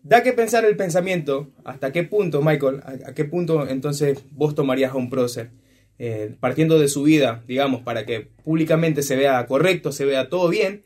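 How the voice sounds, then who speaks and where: A man's voice: fairly high pitch, moderate pace, a little mellow. A man from Ecuador joining a casual discussion, explaining his point while searching for words. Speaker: discussion participant.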